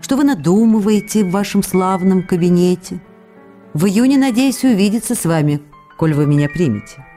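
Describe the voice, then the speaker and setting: An actress reading a young actress's letters in a radio play, playful and wistful by turns, with soft music behind. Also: female voice, mid-range voice, normal speed, lightly acted. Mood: tender.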